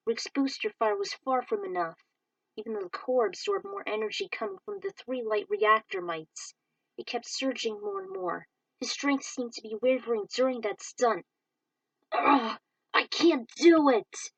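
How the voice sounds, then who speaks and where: A woman reading her own fan story aloud in an expressive way, recorded dry and a little far from the mic. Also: on the high side, vocal fry, no echo. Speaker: fan fiction reader.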